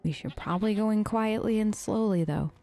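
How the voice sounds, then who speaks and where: A woman's voice: mid-range voice, brisk, dark tone, no room sound. A woman improvising in character for a video game let's play, chatty and hesitant, with an awkwardly polite manner.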